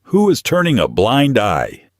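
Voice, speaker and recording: A man playing a cold mafia boss in an audio story, low-voiced, terse and commanding with controlled menace. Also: huge pitch swings, measured pace, no echo, studio quality.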